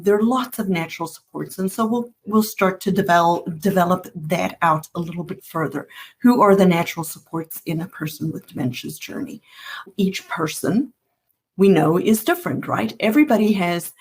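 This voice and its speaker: A woman from Africa, hosting a solo educational podcast and explaining things earnestly, often repeating her key points. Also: lowish voice. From South Africa. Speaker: podcast host.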